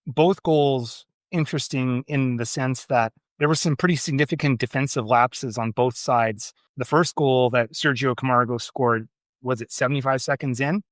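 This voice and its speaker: Man, podcast host, chatty, friendly recap, mid pitch, dry close recording. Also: normal speed, no room sound. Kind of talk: casual sports chat.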